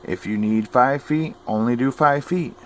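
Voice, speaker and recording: A man's voice: medium pitch, unhurried, a little hiss or hum. A man giving informal how-to advice as a radio hobbyist, folksy, plain-spoken and opinionated. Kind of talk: informal instructional talk.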